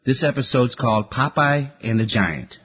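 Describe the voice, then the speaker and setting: Husky guy hosting a radio show, casual, medium pitch, kinda dull sound. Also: animated, normal speed. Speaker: radio program host. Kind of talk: casual program introduction. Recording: slightly dull, muffled sound.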